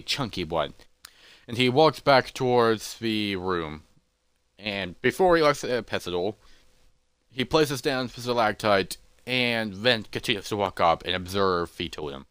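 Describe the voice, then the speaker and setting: A man narrating an adventure story in a loose, improvised way, halting and correcting himself as he goes. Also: fairly low pitch, a bit bright, clear, resonant tone, no echo.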